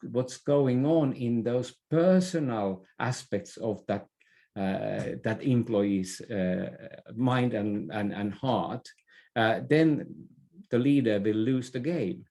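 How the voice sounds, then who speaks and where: Male podcast guest, soft-edged dark timbre, conversational expert explaining. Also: mid-range voice. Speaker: interview guest, leadership expert.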